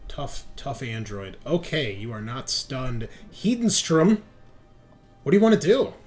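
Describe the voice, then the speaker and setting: Male game master, mid-pitched voice, loose colloquial tabletop narration. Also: very animated, a little slow, a little mellow, faint music behind. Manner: casual, colloquial, swearing.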